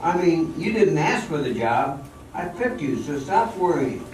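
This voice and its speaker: A man's voice: on the high side, very animated, a little slow, darkish tone, slight background noise. A man playing an exacting, cocksure professional dancer in a theatrical amateur radio drama, with a commanding, instructive manner. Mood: confident, persuasive. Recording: echoey hall, distant mic, music behind.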